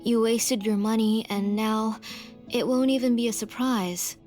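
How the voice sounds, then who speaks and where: A woman's voice: a little high, steady tone, measured pace, close to an everyday voice. A woman voicing an anxious, devoted mother in a video game, earnest, humble and protective. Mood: apologetic, worried. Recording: prominent background music under the dialogue.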